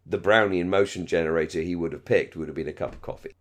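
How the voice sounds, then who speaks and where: Casting: male podcast co-host, lowish voice, opinionated, loose conversational delivery that wanders as he thinks. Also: quick pace. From Britain. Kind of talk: casual discussion of a radio drama.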